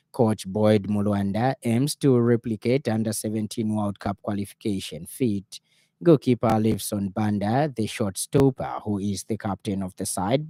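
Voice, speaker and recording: A man with a Zambian accent reading an evening radio news bulletin in a formal, measured way. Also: lowish voice, mellow, no room sound, clean studio sound. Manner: formal, measured newsreader delivery. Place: radio studio.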